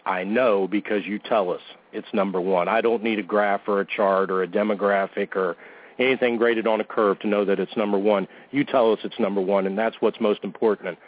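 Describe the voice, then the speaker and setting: A man's voice: on the low side, steady tone, a little fast. A man hosting a wrestling talk show, joking around and reading plugs in a goofy, self-deprecating way. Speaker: podcast host. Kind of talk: casual radio-show banter and announcements. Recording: tinny phone-like line.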